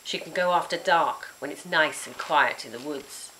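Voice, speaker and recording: Lady doing a nasty old witch auntie from a kids storybook, bossy n scolding, lowish voice, bit of hiss. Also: normal speed, fairly bright tone, low-quality recording. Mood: sly, relishing. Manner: imperious, scolding. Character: menacing old witch aunt, sharp-tempered and bossy.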